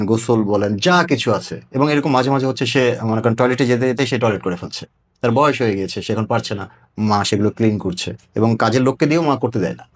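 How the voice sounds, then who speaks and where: A man with a Bangladeshi accent interviewing someone in a conversational, explanatory way. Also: mid-range voice.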